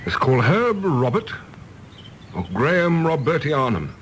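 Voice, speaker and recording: An actor playing a modest, wistful gentleman in a radio drama, polite, understated and reflective. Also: a little high, sing-song, a little slow, slight background noise.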